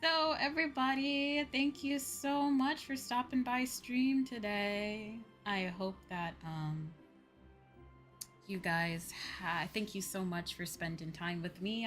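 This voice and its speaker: A woman's voice: medium pitch, a bit bright. A woman livestreamer chatting casually and politely while gaming, musing to her audience. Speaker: livestreamer and host. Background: music.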